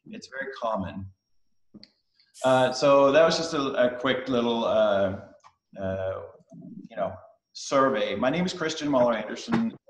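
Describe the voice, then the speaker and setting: Danish-born middle-aged man on a video call. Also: lowish voice. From Denmark. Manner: casual, halting, many fillers and restarts. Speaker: guest speaker. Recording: roomy echo on a video call.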